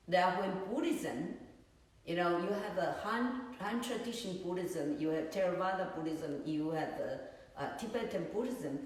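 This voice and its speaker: A woman gives a Buddhist dharma talk at a slow, patient pace, pausing to search for words. She is recorded on a phone set on a tripod some distance away. Her voice bounces around a very echoey room with a long reverb tail. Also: mid-range voice. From Taiwan. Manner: unhurried, searching for words, many "you know"s. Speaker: Buddhist teacher. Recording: echoey room, far from mic.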